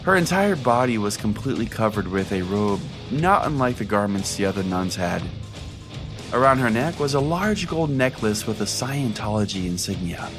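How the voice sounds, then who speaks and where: A man's voice: on the low side, sing-song, moderate pace, over music. A man playing a self-important, foul-mouthed conspiracy pastor, rambling earnestly as if hosting his own comedy podcast. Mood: rambling, bewildered. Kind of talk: mock podcast monologue, comedic storytelling.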